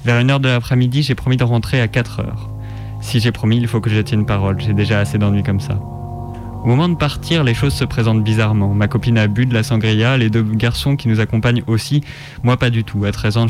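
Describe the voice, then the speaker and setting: Slightly high-voiced man reading fiction. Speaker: radio contributor reading fiction. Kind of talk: literary reading aloud. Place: radio studio.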